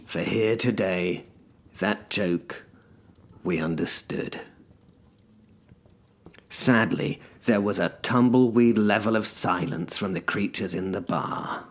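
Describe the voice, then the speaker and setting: An actor playing an urbane, pompous gentleman who narrates a story in a theatrical, wry, formal way. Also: lowish voice, takes it slowly, long pauses. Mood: grandly theatrical. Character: urbane, pompous gentleman narrator, self-styled practised orator. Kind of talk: scripted first-person story narration.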